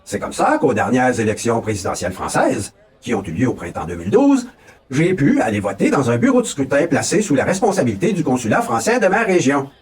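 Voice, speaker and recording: A man's voice: medium pitch, huge pitch swings, brisk, recorded from a distance. A man from Quebec with a Québécois accent, giving a folksy, mock-solemn comic campaign speech as a pretend candidate. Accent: Québécois French. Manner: folksy, mock-solemn, keeps tossing in a rising "ok, là?". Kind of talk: comic political address.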